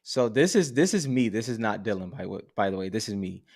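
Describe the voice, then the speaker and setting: A man's voice: on the low side, animated, fairly quick, a little mellow. A man co-hosting a live podcast with laid-back, slangy, colloquial banter.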